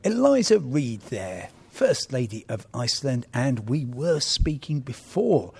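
A man's voice: medium pitch, very animated, measured pace, creaky, darkish tone, slightly rough. A man hosting a podcast, chatty with wry asides. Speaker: podcast host. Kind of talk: conversational interviewing and linking.

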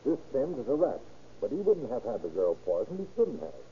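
An actor playing a brisk, hard-nosed male homicide detective, clipped and commanding, in 1930s radio drama style. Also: mid-range voice, very animated, warm tone, close to an everyday voice. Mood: pondering, confiding. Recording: tinny, noisy old radio recording.

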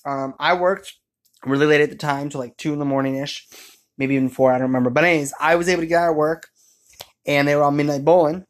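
A man's voice: a little high, fast talker. Young male co-host, chatty and informal, a playful rambling storyteller. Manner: jokey, rambling, animated retelling with quoted asides. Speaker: co-host telling the couple's story.

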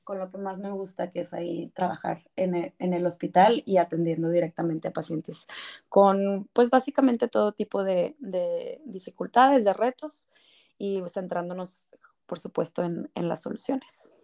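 A woman with a Mexican Spanish accent, a clinical psychologist speaking as a guest in a casual podcast interview, soft-spoken and hesitant. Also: on the low side, normal speed, vocal fry.

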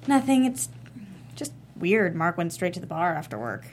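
An actress playing a warm, frank young woman in a naturalistic comedy table read. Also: on the low side, big pitch swings, normal speed. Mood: wry, uneasy. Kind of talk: naturalistic comedy script reading. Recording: faint background noise.

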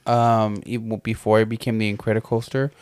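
A man in his fifties co-hosting a casual podcast chat, relaxed and playful, with frequent short agreements. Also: on the low side, fairly quick, clear, resonant tone, no room sound. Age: 50s. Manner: relaxed, playful, backchannels a lot. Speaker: podcast co-host.

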